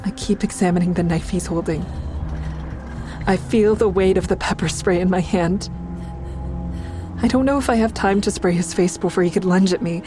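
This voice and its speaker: An actress plays an anxious, watchful woman telling her own story in an audio drama. Her voice sits low and measured, confiding yet uneasy, with music clearly underneath. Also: lightly acted. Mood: frightened. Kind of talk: first-person audio drama narration.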